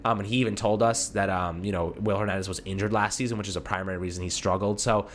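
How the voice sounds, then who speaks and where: A man's voice: lowish voice, fairly even intonation, fast, a little hiss or hum. A man hosting a sports show, giving casual fan commentary in an informal, conversational way, talking to callers like friends.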